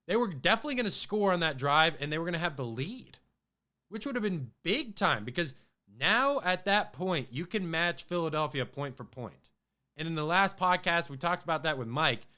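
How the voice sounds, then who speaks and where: A man's voice: a little high, sing-song, normal speed, sharp tone. A man hosting a casual sports podcast, talking loosely with many fillers and restarts, his voice a little off from a cold. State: has a cold, voice a bit off. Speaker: podcast host.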